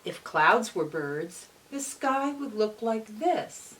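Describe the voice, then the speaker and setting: A woman reading a picture book aloud to young children in a gentle, teacherly manner, often pausing to put questions to them. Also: mid-range voice, sing-song. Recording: distant mic with faint hiss.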